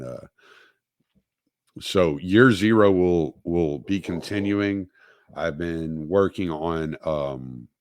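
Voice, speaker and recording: A man hosting his own podcast, talking alone on a livestream in a halting way with many fillers and restarts, recovering from dental work. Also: low voice, no echo, clean studio sound. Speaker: podcast host. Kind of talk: solo livestream talk.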